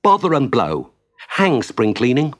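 A man's voice: a little high, very animated, normal speed, pitched above the performer's own voice. A man voicing a naive, eager little mole in a children's story, shy and wide-eyed. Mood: exasperated.

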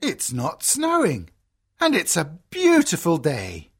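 A woman plays an earnest, kindly merchant father from a storybook. The voice is very husky and sits on the low side. Every phrase comes slowly and with emphasis, full of expression. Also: wildly swooping pitch, heavily acted. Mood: grateful, pleased. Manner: deliberate, emphatic storybook delivery. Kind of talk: children's storybook character reading.

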